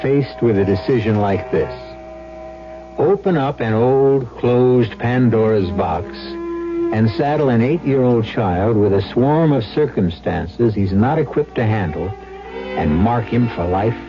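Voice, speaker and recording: A man's voice: medium pitch, animated, unhurried, music in the background. A man hosting a 1970s radio drama, narrating in a grave, portentous, theatrical way. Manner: grave, portentous, rhetorical questions. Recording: dull lo-fi old radio broadcast.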